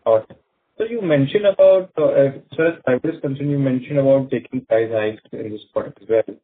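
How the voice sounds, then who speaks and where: A man's voice: medium pitch, a little fast, phone-quality sound. A man with an Indian accent, politely and deferentially asking formal questions as an analyst on an earnings call.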